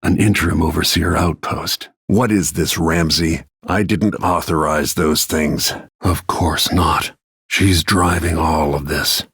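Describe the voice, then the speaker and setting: A middle-aged assassin from a video game, grim and bent on revenge. The voice sits deep, with heavy gravel and a very raspy husk, recorded dry. Lines come out terse and brooding, always under control. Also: male voice, steady tone, slow pace, fairly bright tone, no room sound, exaggerated voice. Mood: grim, determined. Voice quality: gravelly, husky. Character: grim, vengeful middle-aged male assassin bodyguard and protective father.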